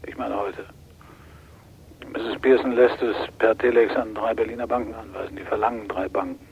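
An actor playing a weary, anxious man relaying kidnappers' demands over the telephone in a radio play, halting and hesitant. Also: on the low side, steady tone, gravelly, darkish tone. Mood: tired, hesitant. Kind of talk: German radio play acting. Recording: dull, noisy telephone line.

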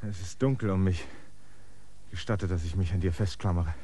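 Erschöpfter landvermesser, hörspiel, ziemlich tiefe stimme, bittend und hartnäckig. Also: male voice, sits low, fairly even intonation, normal speed, dark tone, breathy edge. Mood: pleading. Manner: pleading, clinging, insistent. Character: weary stranded male land surveyor, pleading and insistent. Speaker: land surveyor character. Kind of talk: radio play acting.

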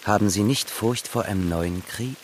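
An actor in a radio drama playing a reserved, probing revolutionary man, terse and measured as he questions. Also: fairly low pitch, slight background noise, lightly acted. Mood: critical, measured.

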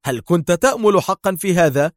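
A man's voice: medium pitch, big pitch swings, fast, no echo, clean studio sound. A man voicing a confident, sarcastic secret agent hero in a storybook thriller, taunting and decisive. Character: mocking, supremely confident male Egyptian secret agent hero.

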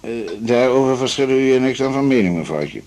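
A man's voice: mid-range voice, animated, brisk, a little mellow, lightly acted. A man playing a smug, conservative, patronizing older doctor in a radio drama, pompous and full of hesitant ehs. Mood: indignant. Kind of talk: 1980s Dutch radio drama acting.

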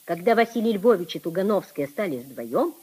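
A woman narrating a literary 1950s radio drama in a measured storytelling manner. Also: lowish voice, big pitch swings, mellow, husky, low-quality recording.

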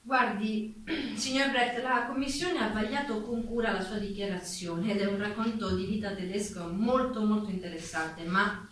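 A woman's voice: mid-range voice, fairly even intonation, normal speed, natural voice. A woman reading the part of a committee chairman in a staged reading, courteous but dismissive and firmly official. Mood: polite, firm. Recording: distant mic, very echoey room, faint noise.

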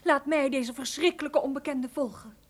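A woman's voice: high-pitched, normal speed. An actress playing a proud, distressed noble lady, formal and earnest in old radio drama style. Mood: brave resignation.

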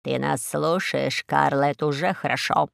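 A woman voicing a sly, smug male eagle villain with a sugary, unhurried manner in a children's story. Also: sits low, fairly even intonation, vocal fry, no echo, studio quality, voice pushed down. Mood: smug, scheming. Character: sly, sweet-talking male eagle tyrant, smug schemer.

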